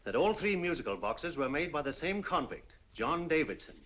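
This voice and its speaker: A man's voice: a little high, big pitch swings, moderate pace, fairly bright tone. An actor playing a formal male police officer delivering a report in a 1940s film. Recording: dull old film soundtrack with hiss.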